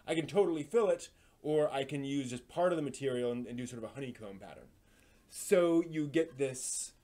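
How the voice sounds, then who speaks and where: A man hosting a casual live stream, explaining hobby projects in a halting, thinking-aloud way. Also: medium pitch, a little mellow.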